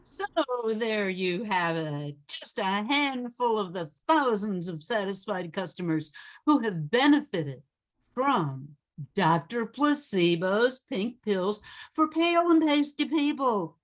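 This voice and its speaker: A woman's voice: mid-range voice, sing-song, slow pace. A woman playing a radio announcer who hawks a quack remedy emphatically in an old-time ad parody. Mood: salesy.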